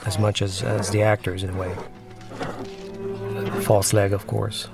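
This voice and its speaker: A male film director records a commentary over his own movie. His voice is low and gravelly. He speaks haltingly, stopping and restarting as he looks for the right words. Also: flat delivery, loud music behind the voice.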